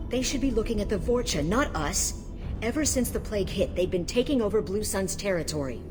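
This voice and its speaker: A woman's voice: medium pitch, animated, fairly quick, lightly acted. An actress playing a worried, resentful woman trapped in quarantined slums in a video game, with a wry, bitter delivery. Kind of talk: video game dialogue acting. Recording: music playing behind the voice.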